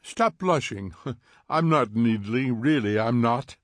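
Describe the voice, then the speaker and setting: A man voicing a smug, needling fire captain who mocks in quick theatrical bursts, in an audiobook reading. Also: mid-range voice, expressive, fairly bright tone, no room sound. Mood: taunting. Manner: taunting, theatrical, fast mocking bursts.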